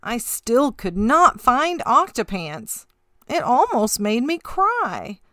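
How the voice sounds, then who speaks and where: A woman's voice: fairly high pitch, slow, no echo, full character voice. A woman voicing a puzzled male octopus telling his tale in sing-song picture-book rhyme. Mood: forlorn, frustrated. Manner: expressive, sing-song rhyming delivery. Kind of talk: rhyming picture-book read-aloud.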